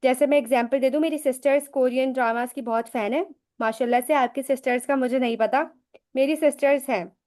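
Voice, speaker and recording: A woman's voice: high-pitched, fairly even intonation, brisk, a little mellow. A woman hosting a live English lesson, explaining in a chatty way with rhetorical questions and personal examples, often mixing English phrases into her talk. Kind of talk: casual live teaching talk.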